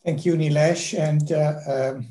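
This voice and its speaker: A man's voice: a little high, steady tone, takes it slowly, warm tone. A middle-aged man from Italy with an Italian accent, presenting with dry self-deprecating humour over a video call from a home office. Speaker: heart surgeon giving a talk.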